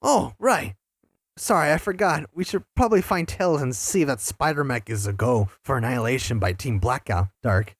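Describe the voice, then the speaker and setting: A woman's voice: extremely low pitch, expressive, normal speed, no echo, clean studio sound, heavily acted. A woman voicing a brash, fun-loving young hedgehog hero with playful slang in a fan audio drama. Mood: determined.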